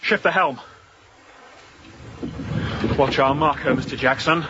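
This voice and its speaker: An actor playing a resolute young sea captain in a radio drama, giving terse, authoritative orders. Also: male voice, fairly high pitch, slow. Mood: tense, commanding. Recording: dull lo-fi radio drama, faint noise.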